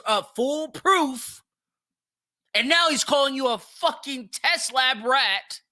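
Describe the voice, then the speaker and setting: A man's voice: extremely high pitch, sharp tone, no room sound, studio quality. A man hosting a podcast of opinionated solo commentary, speaking emphatically with plenty of swearing and repeating himself for emphasis. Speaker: podcast host.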